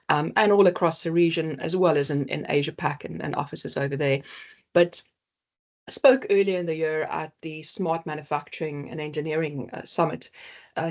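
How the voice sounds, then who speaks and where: A woman's voice: sits low, a little mellow. A woman with a South African accent giving a webinar presentation as company founder, talking with many fillers and restarts.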